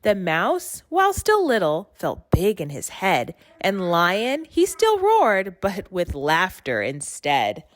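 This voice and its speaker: A woman giving an expressive read-aloud narration of a rhyming children's story. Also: mid-range voice, takes it slowly, hardly any pauses, dry recording. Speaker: storybook reader.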